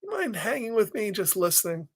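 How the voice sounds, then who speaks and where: Man voicing a soft, earnest young storybook child. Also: sits high, sing-song, fast talker, slightly rough, full character voice. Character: sad young child quietly confiding in a friend.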